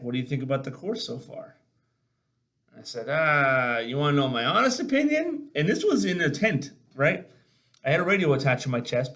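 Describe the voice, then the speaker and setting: Male veteran host, mid-pitched, casual and blunt storyteller who acts out both sides of a conversation. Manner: animated, blunt, salty-tongued, acting out dialogue. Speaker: podcast host and army veteran. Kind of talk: casual storytelling on a video chat.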